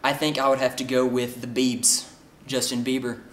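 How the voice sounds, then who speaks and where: A man's voice: mid-range voice, monotone, normal speed, a bit bright, echoey room. A man in his late teens from Oklahoma, answering interview questions in an easygoing way. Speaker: singing contest entrant.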